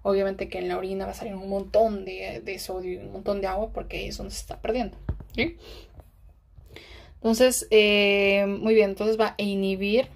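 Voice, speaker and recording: A woman teaching pharmacology while writing on a diagram. She keeps checking in with rhetorical questions and sometimes drops into a hushed aside. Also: medium pitch, vocal fry. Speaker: pharmacology tutor.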